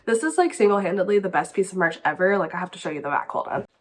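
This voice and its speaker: A woman's voice: fairly low pitch, racing. A young woman with a stuffy, congested nose chats casually as host of her book channel, giggling and saying "like" often. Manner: chatty, giggly, says "like" often. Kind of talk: casual vlog chat.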